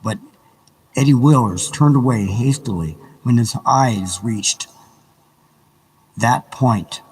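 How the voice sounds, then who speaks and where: A man narrating an audiobook live in a deliberate, measured, dramatic reading style. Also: mid-range voice, a little mellow, husky, long reverb tail, poor audio. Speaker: narrator and reader. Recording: live reading with background ambience noise. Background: ambient background sounds.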